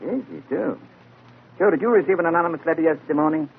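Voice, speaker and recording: An actor playing a gruff older police inspector with an American accent in a 1940s radio drama. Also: male voice, on the high side, fast talker. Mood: stern. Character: brisk, gruff old police inspector, a father. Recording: tinny, hissy old radio recording.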